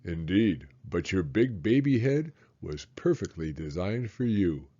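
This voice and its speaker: A man voicing a gentle father in a storybook, speaking calmly and tenderly to reassure his young son. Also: low-pitched, a little slow, lo-fi sound, pitched below the performer's own voice, heavily acted. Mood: warm agreement.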